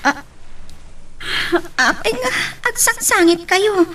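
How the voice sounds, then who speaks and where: An actress playing an anguished young daughter in an Ilocano radio drama, with an Ilocano accent and an emotional, indignant manner. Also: female voice, extremely high pitch, expressive, slow pace, fairly bright tone, a little hiss or hum, exaggerated voice. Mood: concerned, polite. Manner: tearful, indignant outbursts. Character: anguished young daughter rejecting her long-absent mother.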